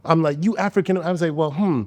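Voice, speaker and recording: A man's voice: a little high, quick pace. A man born in the United States, a podcast host telling stories in casual chat and acting out quotes. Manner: animated storyteller acting out quotes. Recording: long hall reverb.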